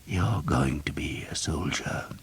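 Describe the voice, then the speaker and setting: Guy doing a dying old priest, barely whispering, super deep and slow. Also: mellow, a little hiss or hum. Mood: weak whisper. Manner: faint strained whisper. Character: dying old male priest, weak and grieving. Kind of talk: audiobook character reading.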